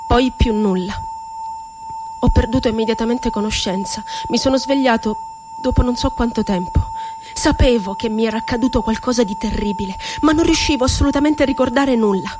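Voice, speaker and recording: Woman asylum inmate, high voice, lively swooping intonation, theatrical radio monologue, bitter irony, deliberate storytelling. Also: over music. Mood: frightened, anguished. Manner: sardonic, dramatic, deliberate storytelling. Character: woman psychiatric inmate recounting asylum horrors, bitterly ironic. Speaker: stage actress.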